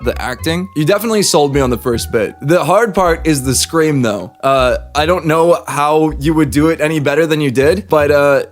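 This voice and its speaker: A man's voice: a little high, moderate pace, few pauses, soft background music. A man hosting a casual critique of voice acting, conversational and encouraging but candid. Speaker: host reviewing voice acting submissions.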